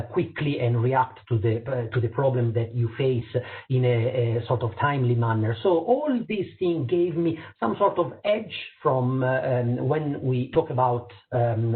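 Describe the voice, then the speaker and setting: A middle-aged Italian man, a surgeon and pilot, giving an online presentation to doctors in accented English, hesitant, with many fillers and restarts. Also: mid-range voice. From Italy. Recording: tinny phone-like audio.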